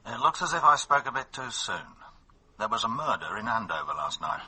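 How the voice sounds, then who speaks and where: An actor playing a middle-aged English chief inspector in a radio drama, blunt, colloquial and matter-of-fact. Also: male voice, medium pitch, big pitch swings, a little fast, bright, forceful tone, husky, heavily acted. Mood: businesslike. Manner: colloquial, dry, businesslike. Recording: noisy radio drama ambience.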